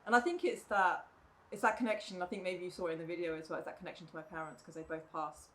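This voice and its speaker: A middle-aged woman, a mother talking reflectively in a casual podcast chat, often pausing with "um" and "you know". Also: lowish voice, fairly bright tone. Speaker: guest, mother of the other guest. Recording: faint background noise.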